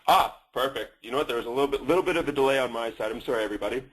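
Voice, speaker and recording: A man's voice: mid-range voice, rapid-fire, fairly bright tone, slightly rough, rough recording. A man with a Canadian accent presenting a company in a webinar, talking with many fillers and restarts.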